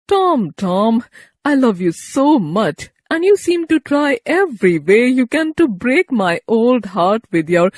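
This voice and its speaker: An elderly storybook aunt, acted out by a woman, excitable and dramatic. She scolds with love in a raised, swooping voice with a husky edge. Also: on the high side, no echo, studio quality, voice pushed up, heavily acted. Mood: wounded, loving.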